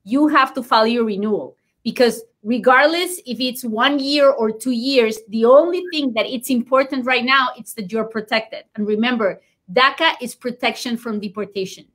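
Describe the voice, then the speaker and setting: A woman's voice: on the high side, normal speed, few pauses, fairly bright tone. Spanish-accented woman lawyer, emphatic. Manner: emphatic, reassuring, repeats key points. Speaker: immigration lawyer. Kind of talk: explaining legal news in an interview.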